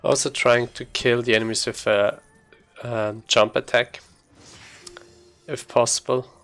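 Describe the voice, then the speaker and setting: A man's voice: mid-range voice, flat delivery, a little slow, fairly bright tone, faint music behind. A man giving casual, explanatory gameplay commentary as a speedrunner.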